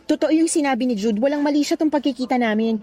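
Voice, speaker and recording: A woman's voice: sits high, fast, mellow, close to an everyday voice. An actress playing a young other woman in a radio drama, defensively explaining herself in a matter-of-fact way. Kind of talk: Tagalog radio drama acting.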